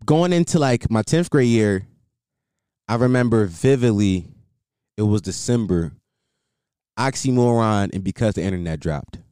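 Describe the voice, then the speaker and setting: A man's voice: on the low side, lively intonation, measured pace, pauses often, mellow, clear, resonant tone, no room sound, studio quality. A young man rambling casually as a solo podcast host, repeating himself and filling with "like".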